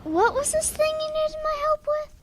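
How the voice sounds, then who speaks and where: A woman's voice: extremely high pitch, lively intonation, moderate pace, noisy background. A woman playing an earnest, curious young schoolboy with a puzzled, childlike manner in a children's audio drama.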